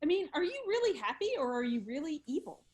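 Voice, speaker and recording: Woman acting young woman, high and lively voice, blunt, sarcastic, hostile. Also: quick pace. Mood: sarcastic, needling. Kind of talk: table read acting.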